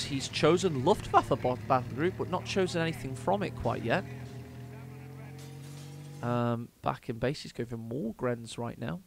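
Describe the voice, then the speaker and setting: A man commentating a strategy game match with a strained voice, speaking quietly and close to the mic. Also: medium pitch, creaky. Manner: excitable, hyped, quick asides. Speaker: game caster. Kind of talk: esports commentary. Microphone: close desk mic.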